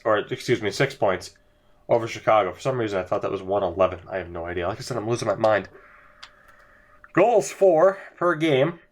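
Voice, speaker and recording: Young man, higher voice, voice going hoarse; upbeat jokey game commentary. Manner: excitable, jokey, laughs often. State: losing his voice. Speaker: gaming YouTuber. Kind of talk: casual gameplay commentary.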